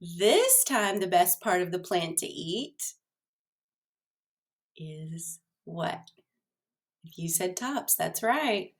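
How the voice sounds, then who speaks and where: A woman's voice: fairly low pitch, big pitch swings, drawn-out words, long pauses. A woman reading a children's storybook aloud expressively, addressing her young listeners as she goes.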